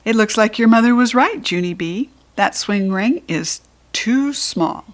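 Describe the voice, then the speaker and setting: A woman's voice: a little high, lively intonation, measured pace. A woman voicing a sensible, reproving father in a children's storybook, correcting in a matter-of-fact way.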